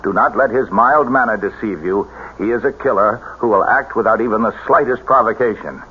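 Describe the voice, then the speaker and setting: An actor playing an earnest, authoritative military psychiatrist in a radio drama, measured and formal. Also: male voice, lowish voice, natural voice. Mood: grave. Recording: dull old radio broadcast with hiss.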